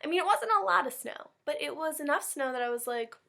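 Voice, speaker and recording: A woman's voice: sits high, expressive, quick pace, creaky, a bit bright. A woman teacher chatting informally and animatedly to young children during a read-aloud.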